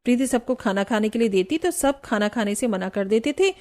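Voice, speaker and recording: High-voiced woman narrating a story. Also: brisk, darkish tone. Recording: faint music bed behind narration. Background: background music.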